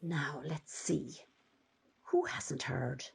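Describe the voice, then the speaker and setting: A woman playing a nosy older Irish village gossip in a radio play, with an Irish accent, husky and falsely pitying. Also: low-pitched, heavily acted. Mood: gossipy, mock-pitying. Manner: gossipy, relishing, drawn-out.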